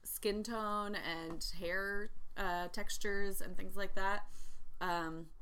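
A woman's voice: medium pitch, fairly even intonation, drawn-out words, pushed and bright, clean tone. Rambling young woman co-hosting a podcast. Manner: rambling, lots of ums and likes. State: pregnant. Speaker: podcast co-host. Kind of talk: casual chat.

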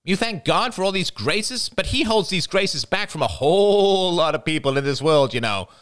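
A man's voice: fairly high pitch, sing-song, moderate pace, fairly bright tone, voice pushed up. A man voicing a bitter, defiant young woman with a nasal voice that rises to a shriek.

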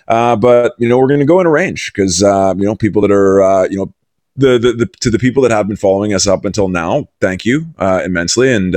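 Male podcast host, lowish pitch, dry close sound. Chummy, loose, halting interview delivery. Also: darkish tone, no room sound. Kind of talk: casual conversational interviewing.

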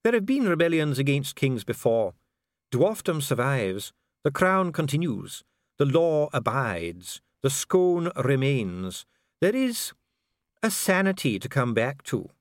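A man's voice: medium pitch, very animated, takes it slowly, no echo, studio quality, pitched above the performer's own voice. A man voicing a solemn, disdainful dwarf official in an audiobook, formal, precise and pompous. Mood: stiff, solemn. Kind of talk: audiobook character acting.

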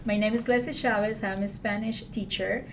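A woman's voice: mid-range voice, fairly even intonation, moderate pace, background noise. A woman, a Spanish teacher, speaking politely and formally. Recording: distant from mic.

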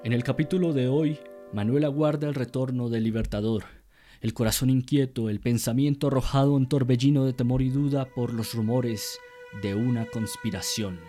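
A man narrating a scripted radio drama in a solemn, theatrical way with measured phrasing. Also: mid-range voice, dark tone, soft background music.

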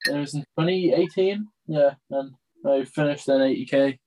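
A man's voice: medium pitch, normal speed, warm tone, low-quality recording. A man chatting on a podcast in an easygoing, rambling way. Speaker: podcast co-host. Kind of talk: casual chat.

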